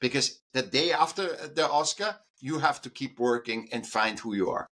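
A man's voice: mid-range voice, moderate pace, fairly bright tone. A man, a guest artist and educator, talking in an interview in an emphatic way, restarting sentences and repeating points for stress. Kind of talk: conversational interview.

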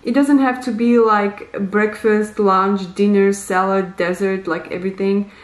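A woman in her thirties giving deliberate, simply worded advice to camera in slightly non-native English, in a casual instructional vlog recorded in a small bare room. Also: medium pitch, fairly even intonation, roomy sound. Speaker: vlogger, host.

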